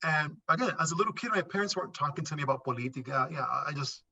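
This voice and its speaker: Bright, forceful man, talking emphatically. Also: fairly high pitch, lively intonation, fast talker, gravelly, breathy edge. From Puerto Rico and New York City. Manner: emphatic, repeats phrases for stress. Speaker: interview guest. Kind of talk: casual conversational interview.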